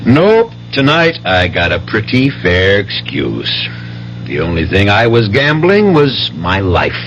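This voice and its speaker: Slow-talking, easygoing radio-drama husband. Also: male voice, fairly low pitch, wildly swooping pitch, fairly bright tone, soft background music, full character voice. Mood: teasing, pleased. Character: easygoing, gambling husband and father, slyly cheerful. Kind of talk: 1970s radio drama acting. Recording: dull lo-fi 1970s radio broadcast.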